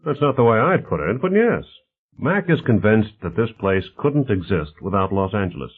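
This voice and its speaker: Through a thin phone-like band comes a genial male executive, acted by a man reading a novel. He is assured and expansive, his pitch lifted and swooping as he plays the host of a futuristic city. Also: sing-song, moderate pace, breathy edge. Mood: reflective.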